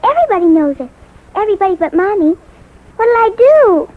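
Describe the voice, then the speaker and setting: High-voiced earnest little girl, radio play. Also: big pitch swings, fairly quick, warm tone, rough recording. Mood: earnest, confiding. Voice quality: childlike. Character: earnest young girl confiding in a grown-up friend. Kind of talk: 1950s radio drama acting. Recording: vintage 1950s radio recording.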